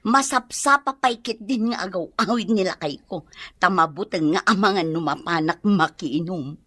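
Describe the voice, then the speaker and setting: A woman's voice: on the low side, moderate pace, a bit bright, no room sound. A woman playing a boisterous, teasing wife in a comedy radio drama, with exaggerated, wailing delivery. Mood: sly, pleased. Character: boisterous, teasing wife putting on a drunken act.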